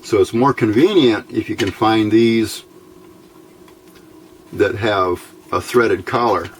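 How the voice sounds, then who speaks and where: A man with a fairly low voice presents an informal hands-on electronics tutorial. He speaks very slowly and haltingly, thinking aloud as he goes. There is some faint noise behind him. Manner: halting, thinking aloud while handling parts.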